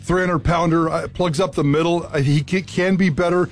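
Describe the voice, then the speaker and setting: Excited man co-hosting sports radio. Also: on the high side, moderate pace, soft background music. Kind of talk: casual sports talk.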